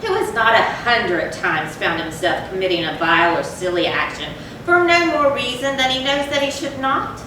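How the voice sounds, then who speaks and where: A woman playing a tormented, confessing animal lover turned cruel drunkard, in a deliberate, formal, theatrical reading of a gothic horror story. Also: on the high side, animated, lightly acted. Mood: remorseful, brooding. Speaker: readers theatre performer. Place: large echoey room, far from the mic. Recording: very echoey, distant, noisy live hall recording.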